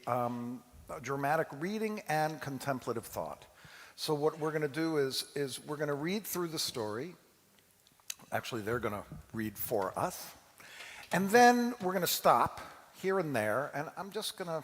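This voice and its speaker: A man speaking as a rabbi, informally introducing a dramatic reading to a congregation in a halting, conversational way. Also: on the high side. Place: large reverberant hall, amplified to an audience. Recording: long hall reverb.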